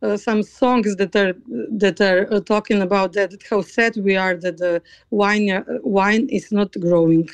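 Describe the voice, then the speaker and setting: Slovenian woman, choir conductor, pauses a lot hunting for words, dry close sound. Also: mid-range voice, fairly even intonation, clear, resonant tone, no room sound. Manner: halting, searching for words, frequent restarts. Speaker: guest choral conductor. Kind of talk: interview conversation.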